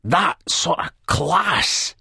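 A jabbering, excitable man wired on cocaine, as a man acts him out in a storybook reading. He talks fast and keeps going, and his voice stays husky under the excitement. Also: fairly high pitch, no room sound, clean studio sound, pitched above the performer's own voice, exaggerated voice. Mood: ranting. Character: coked-up, jabbering Scottish man.

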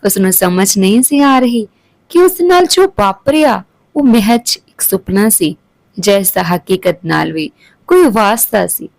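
A woman's voice: medium pitch, lively intonation, measured pace, vocal fry, a little mellow, no echo, rough recording. A woman narrating a scripted emotional family story as a storyteller.

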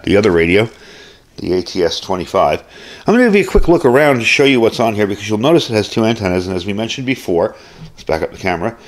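A man giving a casual hands-on gear review as host, explaining things informally, step by step. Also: fairly low pitch.

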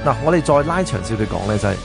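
A man's voice: fairly low pitch, big pitch swings, rapid-fire, vocal fry, music in the background. A man with a Hong Kong Cantonese accent discussing history conversationally as a radio co-host.

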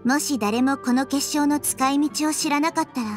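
A woman playing a gentle, wise child-like nature deity in an anime game, speaking softly and thoughtfully. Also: sits high, normal speed, natural voice. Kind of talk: Japanese anime game voice acting. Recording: background music under the voice.

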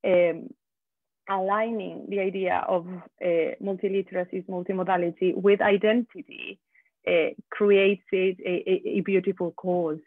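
An earnest woman speaking on a video call, pausing on fillers and restarting her phrases. Her audio is narrow and phone-like, with a dry, close sound. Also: medium pitch, flat delivery, dry recording. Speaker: webinar attendee. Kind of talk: appreciative comment on a video call.

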